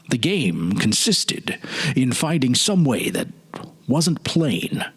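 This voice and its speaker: A man voicing a wistful middle-aged gentleman who hesitantly muses over a childhood memory in a dramatic story reading. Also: mid-range voice, husky. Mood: animated, wondering. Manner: hesitant, musing, reminiscing.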